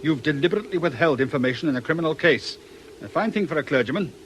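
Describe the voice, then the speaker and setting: A man's voice: fairly high pitch, lively intonation, quick pace, slight background noise, natural voice. An actor playing a grim, exasperated male police inspector in a British radio drama, brusque and reproving. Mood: stern.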